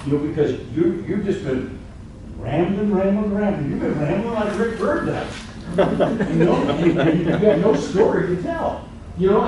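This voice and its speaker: A man giving a rambling, folksy, earnest presentation to a live audience in an event room. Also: high voice. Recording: echoey room, far from the mic, noisy.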